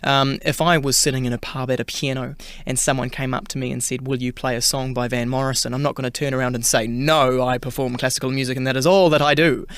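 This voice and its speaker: A man's voice: medium pitch, level tone, fast, few pauses, fairly bright tone, smooth, no echo. A man from New Zealand with a New Zealand accent, chatting as a singer guest in a radio interview, with many fillers and restarts.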